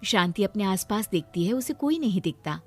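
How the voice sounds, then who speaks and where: A woman narrating a children's cartoon moral story in a steady, scripted way. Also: medium pitch, normal speed, faint music behind.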